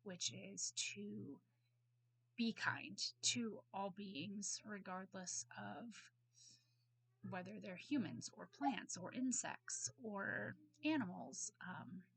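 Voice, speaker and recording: Hoarse, gravelly woman with a cold. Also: mid-range voice, expressive, slow, a bit bright, dry recording, low-quality recording. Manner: rambling, apologetic, many ums. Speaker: student. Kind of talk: casual recorded reading response. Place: at home on a bed. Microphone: computer mic.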